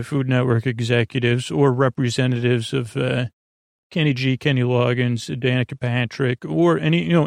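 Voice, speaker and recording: A middle-aged man with a gravelly voice hosting a bedtime podcast, talking in a halting, meandering monologue that keeps interrupting itself. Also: medium pitch, no echo, clean studio sound. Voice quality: gravelly, creaky. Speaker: podcast host.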